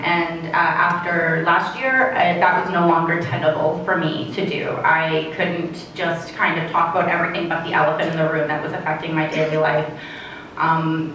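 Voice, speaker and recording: A Canadian woman speaking as a conference panelist, earnest and measured, with frequent fillers. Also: lowish voice, a bit bright, slight background noise. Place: conference hall. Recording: very echoey hall, distant.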